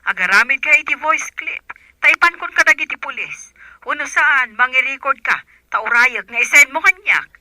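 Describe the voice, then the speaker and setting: Piercingly bright, commanding aunt. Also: female voice, sits high, animated, moderate pace, no room sound, full character voice, low-quality recording. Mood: urgent, instructing. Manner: urgent, instructing. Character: resolute, commanding aunt planning a rescue. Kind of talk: Ilocano radio drama acting.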